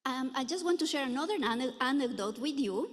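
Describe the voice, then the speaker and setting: A middle-aged woman from Ecuador giving a conference lecture in a lecture room, earnest and full of filler pauses. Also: high-pitched, animated. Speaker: anthropology professor giving a talk. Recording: echoey hall reverb.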